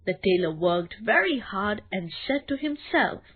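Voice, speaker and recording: A woman narrating a scripted children's bedtime story in a deliberate, expressive way. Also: mid-range voice, slightly rough, narrow, tinny audio.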